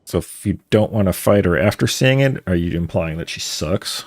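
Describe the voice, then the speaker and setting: A male streamer commenting casually on his game. His voice is low and full of fry, yet lively in pitch, and he mutters wryly to himself. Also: moderate pace, dry recording. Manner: wry, muttering to self. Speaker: live streamer.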